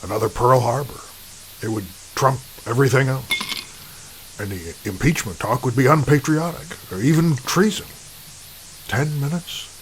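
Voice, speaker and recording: An actor playing an evasive, calculating middle-aged American president who stammers and hedges in an audio drama. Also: male voice, mid-range voice, a little hiss or hum, lightly acted, poor audio. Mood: scheming, musing. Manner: halting, stammering, hedging.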